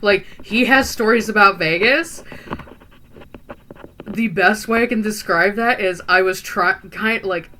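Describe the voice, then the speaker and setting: A woman's voice: medium pitch, measured pace, pushed and bright, slight background noise, rough recording. A woman playing a chatty, earnest young female vampire who talks in a rambling, hesitant way full of fillers and restarts. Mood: musing. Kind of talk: in-character roleplay monologue.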